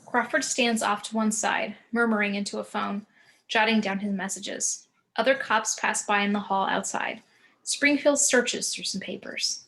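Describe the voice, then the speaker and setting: A woman's voice: mid-range voice, steady tone, normal speed, a bit bright. A woman with a mid-range voice reads stage directions aloud. It is scripted narration for a table read, with an occasional stumble.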